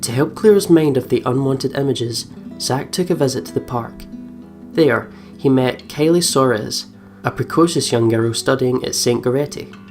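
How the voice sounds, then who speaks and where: Let's play host, mid-pitched, informal and giggly, chats and reads aloud with game music behind. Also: normal speed, a little mellow. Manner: giggly, chatty. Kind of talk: casual chat and reading aloud over game. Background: plucked guitar game music.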